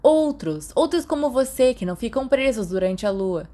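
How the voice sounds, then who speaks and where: A woman voicing an excitable, brash teenage schoolboy in an audiobook, eager and insistent. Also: on the high side, normal speed, voice pushed up, lightly acted. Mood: excited, impatient. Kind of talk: audiobook character dialogue.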